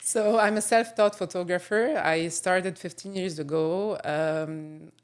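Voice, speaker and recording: A woman from Lebanon with a notably low voice speaks as a guest on an interview podcast. She talks in a measured, reflective way, pausing often and filling gaps with "um" and "uh.". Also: fairly even intonation, clean tone. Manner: thoughtful, with frequent pauses and fillers. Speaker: guest photographer. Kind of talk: casual interview conversation.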